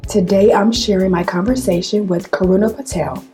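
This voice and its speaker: A woman hosting a podcast interview, her voice deep and scratchy because she is hoarse with a cold. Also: fairly even intonation, a little fast, warm tone, faint music behind. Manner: reads her intro from a script, then asks short friendly questions. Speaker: podcast host.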